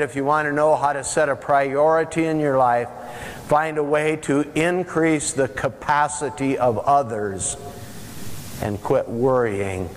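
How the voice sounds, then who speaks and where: An older male pastor preaches slowly and earnestly, leaning on long pauses. His mid-range voice carries the long ringing tail of a big sanctuary. A faint hiss sits under the recording. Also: mid-range voice, in a large hall. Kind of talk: sermon. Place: large church sanctuary, preaching from the chancel.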